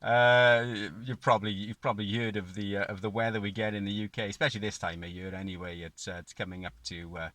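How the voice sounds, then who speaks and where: Low-voiced British man, dry close sound. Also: fairly even intonation, fast, hardly any pauses, a bit bright, no echo. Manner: repeats phrases for clarity. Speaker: mobile radio operator. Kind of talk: casual amateur radio chat. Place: inside a parked or mobile car.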